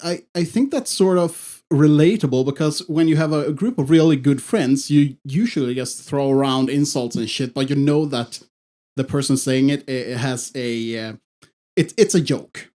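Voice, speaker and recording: A man hosting a podcast, explaining an idea in casual chat with many pauses and restarts. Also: medium pitch, mellow. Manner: halting, with many fillers and restarts. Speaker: podcast host.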